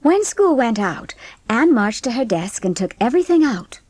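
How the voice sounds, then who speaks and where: A woman's voice: fairly high pitch, sing-song, moderate pace, noisy background. A woman reading scripted audiobook narration of a story as its narrator.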